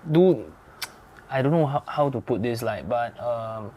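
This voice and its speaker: A man's voice: mid-range voice, unhurried. A Singaporean man with a Singaporean English accent, hosting a casual podcast chat in a studio. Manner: relaxed, uses Singlish particles, swears casually. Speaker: podcast host.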